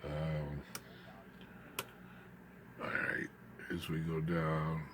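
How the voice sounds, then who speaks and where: A man giving an informal, unscripted hands-on demonstration as a hobbyist, haltingly, muttering asides to himself as he works. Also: rumbling low, completely flat delivery. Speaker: hobbyist radio builder demonstrating his equipment. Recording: clear background noise, room ambience.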